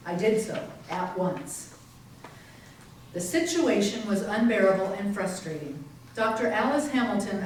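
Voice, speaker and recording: A woman's voice: medium pitch, a little mellow, lightly acted. A woman playing a determined, indignant activist and investigator in dramatic readers theater. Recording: very echoey hall, far mic, faint noise.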